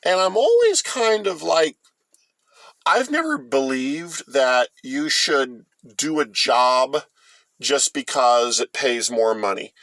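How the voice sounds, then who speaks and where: A middle-aged American man tells a rambling personal story in a casual, folksy way. His delivery is halting and conversational, at an ordinary male pitch. The sound is dry, with very little room in it. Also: very animated, fairly bright tone, dry recording. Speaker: host of a storytime vlog.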